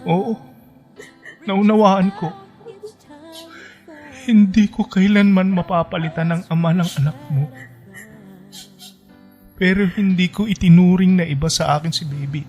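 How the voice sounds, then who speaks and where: A man's voice: sits high, fairly even intonation, a little slow, long pauses, darkish tone, dull audio. An actor playing a devoted, sentimental young male lover in a radio drama, with an earnest way of talking. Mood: pleading, heartbroken. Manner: teasing endearments, pleading and sentimental. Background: background music.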